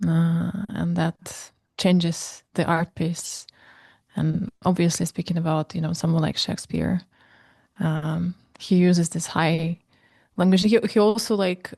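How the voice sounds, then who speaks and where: A woman hosting a podcast interview, responding with quick agreement and musing on the conversation. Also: on the low side, mellow, no echo. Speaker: podcast host.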